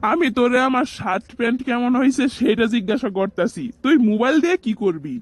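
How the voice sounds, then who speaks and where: A man's voice: very high-pitched, lively intonation, a little fast, dry recording. A man voicing a teasing, contrary older brother in a comic cartoon, mocking and argumentative, with a Bangladeshi accent.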